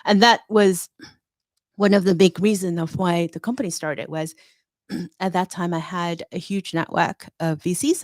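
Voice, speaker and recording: A woman's voice: on the low side, no room sound. A middle-aged woman from Hong Kong, a fintech consultant, speaking as an interview guest in a confident, candid, storytelling way. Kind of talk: interview conversation.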